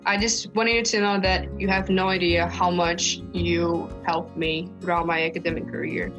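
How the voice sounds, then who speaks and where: A woman's voice: on the low side, flat delivery, normal speed, muffled recording, over music. A woman student from Brazil giving a grateful testimonial.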